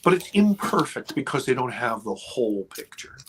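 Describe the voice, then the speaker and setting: A man's voice: mid-range voice, sing-song, normal speed, slight background noise, rough recording. A man in his fifties, a guest on a podcast, talking casually and with energy, swearing freely and checking in with "right?" and "okay". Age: 50s.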